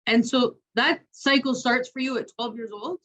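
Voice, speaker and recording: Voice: medium pitch, normal speed, pushed and bright. An interview host who sums up the guest's points and asks follow-up questions.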